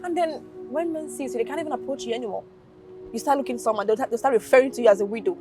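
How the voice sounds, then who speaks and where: A woman playing a young friend who teases and then comforts, talking in a lively and fond way, with music underneath. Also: a little high, racing, close to an everyday voice. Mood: teasing. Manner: teasing, then soothing.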